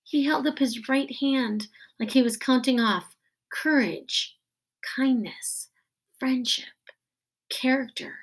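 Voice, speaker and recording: Storybook school principal read by a woman: earnest, moved, ceremonial award-speech delivery, very measured pace. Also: high voice. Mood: earnest, solemn. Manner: slow, weighty, ceremonial.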